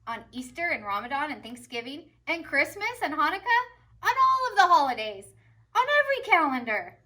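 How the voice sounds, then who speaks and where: A woman voicing a proud, excitable male school principal in a picture book, making emphatic, drawn-out proclamations. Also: high voice, pitched above the performer's own voice, heavily acted. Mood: proud, enthusiastic. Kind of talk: picture book character read aloud.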